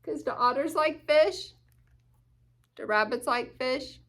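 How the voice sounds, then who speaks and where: A woman's voice: high voice, slow, fairly bright tone. A woman reading a children's picture book aloud, expressively, with chatty asides and questions to her listeners. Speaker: storytime reader at a church.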